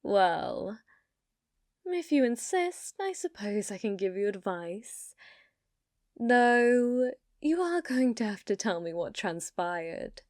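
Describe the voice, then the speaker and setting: A woman's voice: medium pitch, sing-song, slow pace, darkish tone, dry recording, clean studio sound. A woman voicing an ancient, possessive female yokai in an intimate ASMR roleplay, coyly sweet yet menacing, with a teasing manner that often drops toward a whisper. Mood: teasing, curious.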